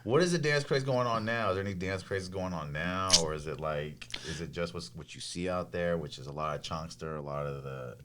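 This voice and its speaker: A man's voice: low voice, brisk, few pauses, background noise. An American man in his forties hosting a podcast, chatting casually as he interviews guests. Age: 40s. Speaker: podcast host.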